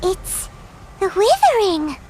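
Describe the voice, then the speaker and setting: A woman voicing a small, excitable, childlike female fairy companion in a video game, squeaky and exclamatory. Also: slow pace, slight background noise, full character voice. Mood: excited. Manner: refers to herself in third person, squeaky, exclamatory. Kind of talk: video game character acting.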